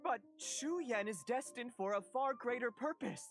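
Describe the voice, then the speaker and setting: A woman's voice: on the high side, animated, a little slow, soft background music. A woman voicing a theatrical girl in a video game who speaks grandly and formally, as if of destiny. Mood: grandiose. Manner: pompous, dramatic, old-fashioned formal diction.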